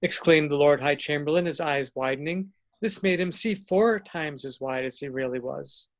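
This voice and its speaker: A man narrates a fairy-tale style story. His voice is on the high side for a man, with a read-aloud storybook delivery. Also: moderate pace.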